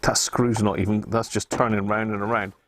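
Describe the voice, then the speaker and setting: A man's voice: fairly low pitch, level tone, a little fast, slight background noise. A man hosting a casual live repair stream, chatting and thinking aloud as he works at an electronics bench. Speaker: host of an electronics repair livestream. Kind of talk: casual live workbench commentary. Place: indoors at an electronics workbench.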